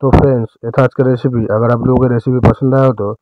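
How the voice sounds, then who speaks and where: A man with an Indian accent narrating a recipe step by step as a cooking host, in a smooth, clear voice. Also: medium pitch, level tone, quick pace, dark tone, no echo, highs cut off.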